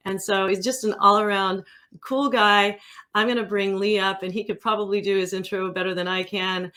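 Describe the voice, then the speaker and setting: A woman's voice: mid-range voice, fairly bright tone. A woman hosting an interview, introducing and questioning her guest in a conversational style. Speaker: interview host.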